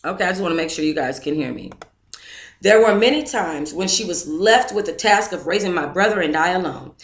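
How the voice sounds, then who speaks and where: A woman's voice: fairly low pitch, animated, a little fast. A woman hosting a book club, reading a book aloud on a live stream and chatting casually with her viewers between passages. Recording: echoey room.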